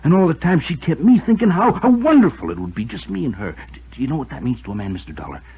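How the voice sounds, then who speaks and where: A man's voice: mid-range voice, big pitch swings, quick pace, slightly rough. An actor playing a desperate, unhinged lovesick man confessing to murder in a 1950s radio drama, pleading, agitated and stammering. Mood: anguished confessing. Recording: muffled tinny old radio recording.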